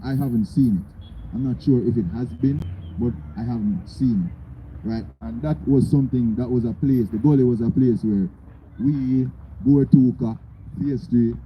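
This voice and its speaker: A man's voice: medium pitch, dull audio. A young man with a Jamaican accent and a strong voice gives an earnest, rallying speech to an outdoor community meeting, often tagging his points with "right?". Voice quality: strong, loud voice. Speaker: community organizer. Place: outdoors by a road. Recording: loud traffic noise behind. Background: passing cars.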